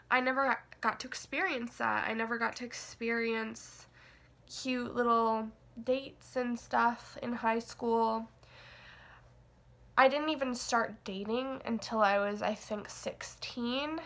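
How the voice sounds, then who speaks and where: A woman's voice: fairly high pitch, creaky, a bit bright. A nineteen-year-old woman vlogging casually, rambling and hesitant, with lots of fillers and self-deprecating asides. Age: young adult. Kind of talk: casual confessional vlog.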